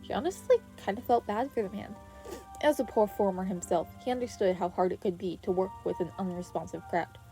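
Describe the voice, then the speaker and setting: A woman narrates a fan story in a mellow, soft-edged voice at a middle pitch. Her reading is expressive and scripted, with faint music playing behind her. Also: a little fast. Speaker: podfic narrator. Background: background music.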